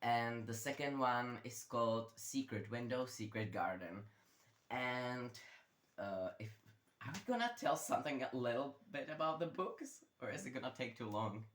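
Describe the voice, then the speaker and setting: A young man chats loosely about books, filling his talk with fillers and side remarks. His voice sits at an average pitch and rings in a roomy bedroom echo. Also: expressive, normal speed, a bit bright. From Czech Republic. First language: Czech. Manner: chatty, rambling, with many fillers. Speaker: book vlogger. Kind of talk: casual chat.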